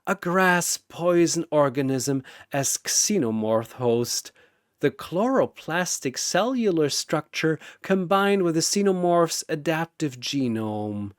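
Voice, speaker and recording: A man's voice: a little high, expressive, takes it slowly, dry recording, studio quality, voice pushed up. A man voicing a coldly fascinated mad scientist villain in a story, clinical and pompous. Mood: cold fascination.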